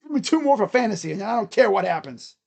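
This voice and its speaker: Loud, booming baseball stream commentator. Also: fast, gravelly. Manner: relaxed, chatty, hums between pitches. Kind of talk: casual live play-by-play reaction.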